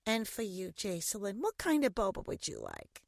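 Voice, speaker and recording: A woman's voice: mid-range voice, huge pitch swings, normal speed, gravelly, no echo, studio quality, heavily acted. A woman playing a gushing, doting yet imperious mother in a science fiction audio drama, with theatrical, cajoling delivery. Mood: sweetly cajoling. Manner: theatrical, effusive, cajoling with endearments. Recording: music under the voice.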